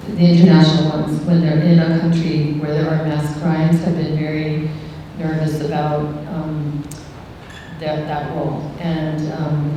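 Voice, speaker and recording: A woman gives a considered explanation on a panel in a heavily reverberant hall. Her low voice is caught from a distance, with careful, formal phrasing. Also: warm tone. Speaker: expert panelist from a humanitarian or rights organization. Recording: very echoey hall, distant mic, noisy rumble.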